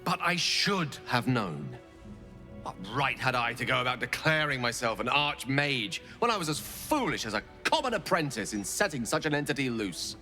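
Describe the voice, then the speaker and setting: An actor playing an earnest, eloquent wizard, articulate and theatrical. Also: male voice, mid-range voice, very animated, moderate pace, bright, forceful tone, faint music behind. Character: earnest young wizard, eloquent, self-reproaching. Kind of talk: video game voice acting.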